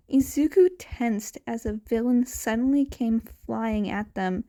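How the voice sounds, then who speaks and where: Slow-paced, higher-voiced woman narrating a story. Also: dark tone. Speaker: podfic narrator. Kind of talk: scripted fan fiction narration, read aloud.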